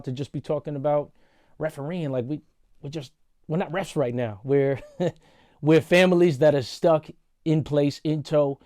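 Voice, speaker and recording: Man, thirties, casual vlog talk, mellow tone, dry close sound. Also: mid-range voice, dry recording. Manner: relaxed, conversational, says "y'all" often. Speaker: podcast host and referee.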